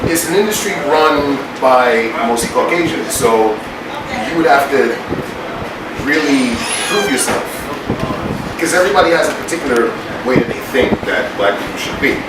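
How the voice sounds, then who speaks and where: A man with a deep voice, a guest artist speaking earnestly at a screening Q&A. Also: lively intonation, normal speed. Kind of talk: casual Q&A talk. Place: screening room. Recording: echoey hall from far off with loud background noise.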